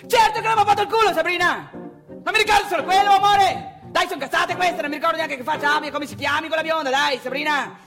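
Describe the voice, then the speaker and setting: A woman's voice: very high voice, fast, a bit bright, soft background music. A woman playing a crude, boastful male travelling salesman, in a confrontational, colloquial theatrical monologue. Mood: heated, exasperated. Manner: colloquial, confrontational, addressing the audience with rhetorical questions.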